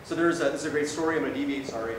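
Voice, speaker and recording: A male doctor giving an informal medical lecture in a conversational way, often checking in with his listeners. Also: rapid-fire. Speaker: emergency physician lecturing. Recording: very echoey room, distant mic, noisy rumble.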